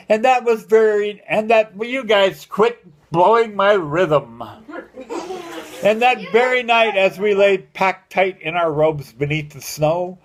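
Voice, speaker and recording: High-pitched older man reciting a poem. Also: slight background noise. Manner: rhythmic, theatrical storytelling delivery. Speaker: grandfather reading a poem aloud to family. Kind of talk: dramatic amateur poem recitation.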